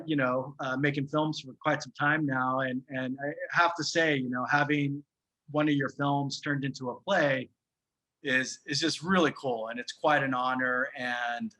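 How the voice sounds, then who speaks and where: A man with a bright, forward voice at medium pitch talks casually as a guest film director. His delivery is conversational and halting, often doubling back on small words. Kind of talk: casual spoken introduction.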